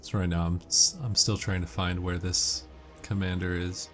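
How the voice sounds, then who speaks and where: A man doing casual video game walkthrough commentary, explaining tips in a measured way. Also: low voice, level tone, fairly bright tone, faint music behind. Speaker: gamer giving walkthrough commentary.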